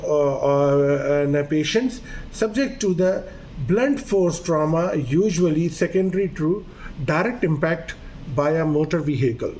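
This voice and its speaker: A man whose first language is Urdu delivers a medical lecture as a doctor, earnest and a little hesitant. His voice sits slightly high for a man, and he stumbles through fillers and restarts. A faint hiss runs under the recording. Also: measured pace, a little mellow, low-quality recording. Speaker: doctor presenting a medical video lesson.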